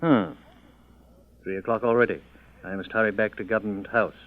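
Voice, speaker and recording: Actor as colonial governor on tinny old radio. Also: male voice, lowish voice, fairly even intonation, moderate pace, lightly acted. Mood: formal, concerned. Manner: formal, courteous. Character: dignified British colonial governor, troubled but resolute. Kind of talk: old-time radio drama acting. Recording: tinny old narrow-band radio recording.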